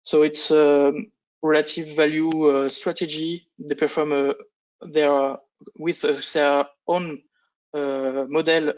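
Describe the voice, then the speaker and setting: A man who speaks English as a second language gives a business presentation on a conference call, with halting delivery and frequent false starts. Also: fairly high pitch, a little mellow, poor audio. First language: not English. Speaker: fund analyst presenting on a panel.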